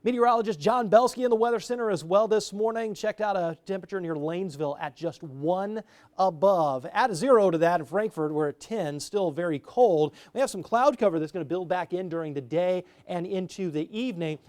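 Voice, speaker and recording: A man's voice: high-pitched, fairly quick, a little mellow. A man delivering a morning TV weather forecast in a chatty, easygoing way. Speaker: meteorologist.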